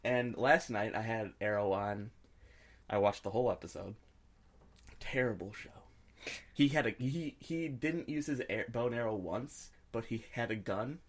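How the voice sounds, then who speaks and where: A man's voice: medium pitch, moderate pace. A man co-hosting a podcast, chatting casually about pop culture and giving his opinions freely. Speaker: podcast co-host.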